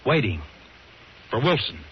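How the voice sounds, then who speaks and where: An American actor playing a stubborn, hard-boiled talent agent in an old-time radio drama, dramatic and tough-talking. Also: male voice, mid-range voice, very animated, a little slow, slight background noise. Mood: insistent, skeptical. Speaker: radio drama lead and narrator. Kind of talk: old-time radio drama acting and first-person narration. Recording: muffled vintage radio with music.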